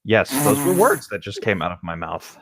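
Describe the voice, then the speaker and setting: A man's voice: lowish voice, big pitch swings, normal speed, dry recording. A man co-hosting a casual read-along, narrating a story and adding offhand comments. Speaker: co-host reading a story aloud.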